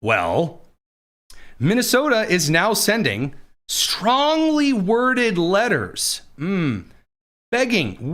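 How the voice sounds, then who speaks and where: A man from Indiana hosting a political commentary show, mocking and sarcastic, with quick asides. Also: sits high, a bit bright. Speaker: host.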